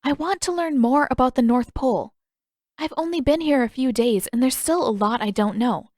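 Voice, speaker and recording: An earnest, determined teenage girl, voiced by a woman narrator, speaks in a raised pitch. She is polite and persuasive, in an audiobook style with a dry sound. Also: normal speed, a little mellow, dry recording, close to an everyday voice.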